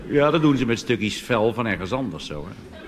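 A Dutch man doing a cabaret monologue on stage, delivering deadpan punchlines and jumping into animated put-on voices. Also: mid-range voice, normal speed. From Netherlands. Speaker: cabaret comedian. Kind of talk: stand-up monologue. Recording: reverberant hall with audience noise.

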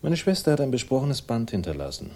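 A man's voice: mid-range voice, very animated, fast, darkish tone. An actor in a 1960s crime radio play, playing a wry, laconic man out to avenge his sister and narrating it himself. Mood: probing, dry. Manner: dry, sardonic, laconic. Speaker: lead character and first-person narrator.

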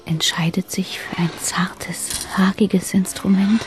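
A woman narrating a literary radio play in a hushed, whispery, deliberate manner. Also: mid-range voice, steady tone, low-quality recording.

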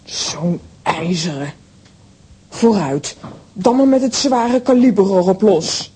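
An actress playing a fierce, easily agitated aunt in a children's audio play, speaking in exclamations with theatrical drama. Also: female voice, mid-range voice, lively intonation, takes it slowly, mellow, slight background noise. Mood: alarmed, combative.

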